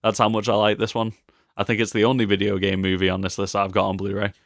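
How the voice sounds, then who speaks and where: A man with a fairly low voice chats casually as a podcast co-host. His delivery is informal and conversational, and the recording is close and dry. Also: monotone, fast, creaky, no echo. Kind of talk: casual film discussion.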